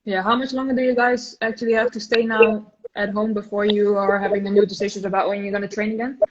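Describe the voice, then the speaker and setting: A woman's voice: medium pitch, little pitch movement, quick pace, a crowd in the background. A Dutch woman with an American-tinged English accent, chatting as a guest, cheerful and earnest with easy giggles. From Netherlands. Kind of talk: casual chat. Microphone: phone. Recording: muffled phone audio.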